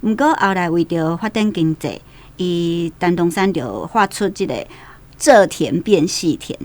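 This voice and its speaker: A woman's voice: fairly low pitch, expressive, measured pace, darkish tone. A woman with a Taiwanese accent giving explanatory commentary on a book for radio.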